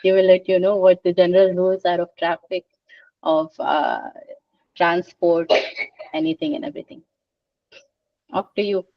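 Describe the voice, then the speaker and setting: A woman's voice: on the low side, fairly even intonation, no room sound. Distant, muffled young woman from India. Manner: friendly, informal, slightly halting. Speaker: student association organizer. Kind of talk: webinar presentation.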